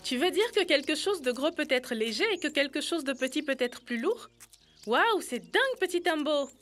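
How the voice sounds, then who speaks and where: A cheerful little girl in a children's cartoon. Her high voice is chatty and full of wonder, with light music behind. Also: expressive, moderate pace, a bit bright. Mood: amazed, cheerful.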